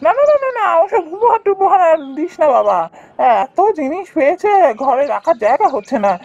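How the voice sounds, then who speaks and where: A man voicing a haughty, well-off elder brother in a village cartoon, talking down in a loud, mocking way. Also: extremely high pitch, big pitch swings, brisk, lo-fi sound, full character voice. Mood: dismissive. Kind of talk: cartoon voice acting.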